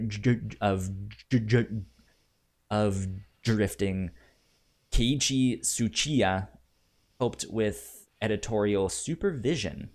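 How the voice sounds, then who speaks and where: A man co-hosting a casual review podcast, with a stutter that repeats sounds and a halting, easygoing delivery. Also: fairly low pitch. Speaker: podcast co-host.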